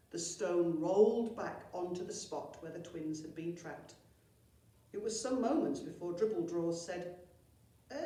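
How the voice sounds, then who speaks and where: A woman teacher reads a story to children with theatrical expression. Her voice is mellow and fairly low. The room is very echoey and the microphone sounds some way off. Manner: animated, theatrical delivery. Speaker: teacher reading a story to pupils. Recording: very echoey room, distant mic.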